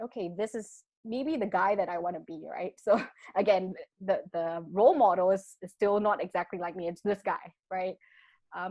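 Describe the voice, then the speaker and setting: A woman's voice: mid-range voice, no echo. A woman from Malaysia whose accent mixes British and Malaysian sounds. She talks animatedly, often restarting her sentences. The sound is dull and lo-fi, and dry. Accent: mixed British and Malaysian. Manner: animated, frequent restarts, laughs between thoughts. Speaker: guest. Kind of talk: casual interview talk.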